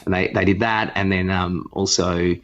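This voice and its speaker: A man chats casually as a podcast co-host, rambling and irreverent. His voice sits on the low side, and the recording is slightly dull and lo-fi. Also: little pitch movement, moderate pace, a bit bright. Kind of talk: casual chat.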